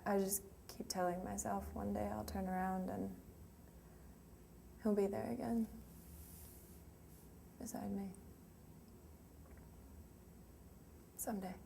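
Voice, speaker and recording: A woman's voice: medium pitch, expressive, normal speed, mellow. An actress playing a grieving young woman remembering her lost sweetheart in a hesitant, emotional, confessional monologue. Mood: grief-stricken. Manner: hesitant, emotional, with trailing phrases. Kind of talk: dramatic monologue, confessional and conversational. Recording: clearly noisy room ambience.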